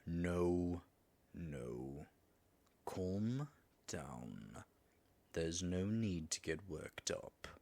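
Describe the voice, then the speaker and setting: A man's voice: deep voice, sing-song, drawn-out words, long pauses, a little mellow, full character voice. A man playing a proud male dragon who guards his treasure, speaking in a dramatic, formal and wry manner in a scripted audio roleplay. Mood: pleading.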